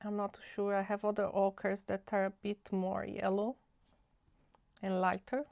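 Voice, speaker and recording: A woman hosting a casual watercolor tutorial, a bit nasal from a cold, talking in an unhurried, chatty way as she thinks aloud. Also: medium pitch, monotone, vocal fry, a little mellow, no room sound. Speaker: art channel host.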